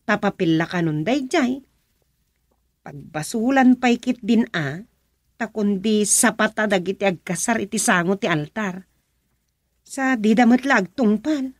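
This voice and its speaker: A woman's voice: mid-range voice, a little slow, long pauses, no echo, studio quality, natural voice. A woman playing an opinionated, chatty village woman who lectures insistently, in a rural radio comedy-drama. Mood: insistent, moralizing. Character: opinionated village woman gossiping and moralizing about marriage.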